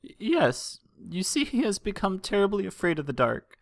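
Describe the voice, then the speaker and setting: A distraught cartoon mother pony, acted out by a man reading a story. The voice is high and breathy, with a tremble and frequent stammers. It pleads tearfully and swings widely in pitch. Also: very animated, no echo, exaggerated voice. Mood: shaken, tearful. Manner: halting, stammering through sobs. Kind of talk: fan fiction audiobook character voice.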